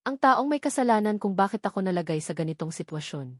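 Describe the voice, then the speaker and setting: A woman's voice: mid-range voice, lively intonation, quick pace, dry recording, clean studio sound. A woman with a Filipino accent reading a first-person romance novel aloud as dramatic scripted narration. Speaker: audiobook narrator.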